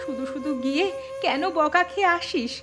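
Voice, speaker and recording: A woman voicing a caring, mildly chiding village mother in a cartoon story, gentle but firm. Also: high-pitched, animated, moderate pace, over music.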